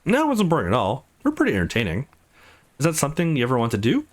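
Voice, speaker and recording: A man's voice: mid-range voice, huge pitch swings, very fast. A man voicing an upbeat, friendly young protagonist in a visual novel, playful and coaxing. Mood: warm.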